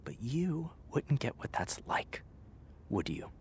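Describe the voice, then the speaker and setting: A man's voice: mid-range voice, very animated, slow pace, a little mellow, slight background noise. A man playing a hurt, fiery actor fed up with an old friend, speaking emphatically and sarcastically in a naturalistic audio drama. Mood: quiet, hurt.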